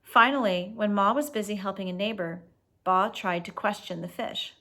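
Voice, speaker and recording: A woman's voice: mid-range voice, moderate pace, pushed and bright. A woman hosting a read-aloud, telling a story expressively and stepping out for casual explanations to young listeners.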